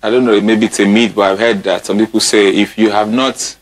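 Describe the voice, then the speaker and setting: A man's voice: fairly low pitch, little pitch movement, fairly quick. A man in his thirties with a Nigerian accent, interviewing conversationally as a TV talk show host. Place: TV studio.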